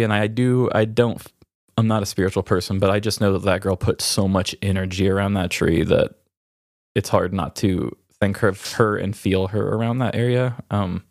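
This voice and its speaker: A man who hosts a podcast, talking candidly and haltingly in an emotional, reflective way while grieving. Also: lowish voice, no room sound, clean studio sound. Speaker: podcast host. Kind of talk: raw, candid personal conversation.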